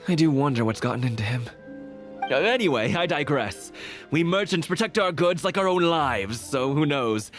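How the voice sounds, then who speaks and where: An actor playing a talkative, shrewd and neighborly merchant in a video game, speaking in a folksy, earnest way. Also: male voice, fairly high pitch, expressive, moderate pace, music in the background, lightly acted. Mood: concerned, musing. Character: chatty, shrewd, neighborly male merchant shopkeeper.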